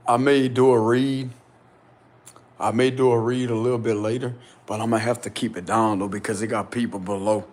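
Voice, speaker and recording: A man vlogging casually and insistently from a small rented room, with a deep, loud voice. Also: normal speed. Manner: excited, insistent, slangy. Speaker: spiritual vlogger. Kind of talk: casual vlog to camera.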